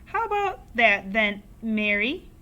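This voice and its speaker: Lady putting on a loud cackling selfish old granny, way up high, storybook voice. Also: sing-song, takes it slowly, heavily acted. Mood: gloating.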